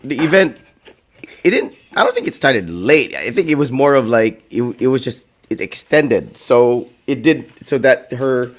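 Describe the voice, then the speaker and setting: Hoarse man, rambling chat. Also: mid-range voice, animated, measured pace. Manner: rambling, heavy on "like" and "you know". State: hoarse, recovering from a lost voice. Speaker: co-host. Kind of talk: casual chat.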